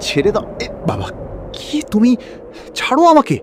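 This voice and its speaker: A man's voice: sits high, wildly swooping pitch, normal speed, background noise. An actor playing a shy, kindly young village bachelor in a cartoon, hesitant when flustered, with music under his voice. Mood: startled. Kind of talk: cartoon dialogue acting.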